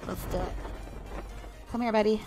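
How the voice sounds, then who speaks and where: A woman's voice: medium pitch, fairly even intonation, moderate pace, dark tone, soft background music. A young woman streaming a mobile shooter, chatting casually with viewers and laughing often. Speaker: game streamer. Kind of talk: casual live-stream chat while playing.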